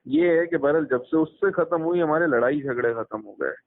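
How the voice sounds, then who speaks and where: A man's voice: fairly high pitch, a little fast, vocal fry. A young man chatting casually and telling stories in slangy speech, quoting people as he goes, recorded over a phone call. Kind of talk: casual chat, telling anecdotes from youth. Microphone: phone line.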